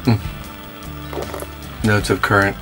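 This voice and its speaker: A man putting on the voice of a pompous wine connoisseur, slow and mock-snooty, over background music. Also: on the low side, flat delivery, pushed and bright.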